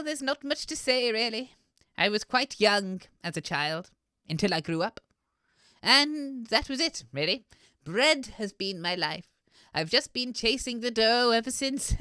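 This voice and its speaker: A woman's voice: on the high side, animated, measured pace, sharp tone, clean tone, dry recording, studio quality. A woman playing a sweet, simple old granny who bakes, homely, mild and a little bemused, in an audio comedy. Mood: contented, polite. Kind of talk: audio comedy character acting.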